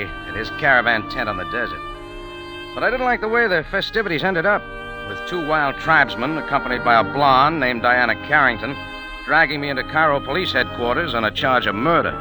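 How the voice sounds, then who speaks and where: Casting: male actor as wry noir cafe owner, skeptical and sardonic, mid-pitched with lively swings, vintage radio broadcast with music. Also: natural voice, poor audio. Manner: sardonic, clipped, offhand. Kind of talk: 1940s-50s radio drama, first-person noir narration.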